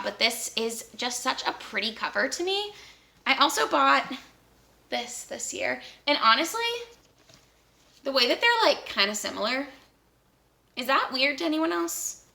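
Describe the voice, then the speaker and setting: A young adult woman chatting casually about books as a vlogger, upbeat and rambling, with easy laughs and quick asides. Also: high voice, vocal fry, pushed and bright. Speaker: book vlogger.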